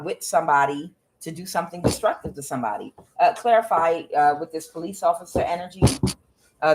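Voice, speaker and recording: A woman's voice: on the low side, heavy background noise. Blunt, profane woman reading tarot. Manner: blunt and profane, with frequent "uh" fillers; questions the cards aloud as she reads. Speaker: tarot reader. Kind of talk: solo tarot reading to camera.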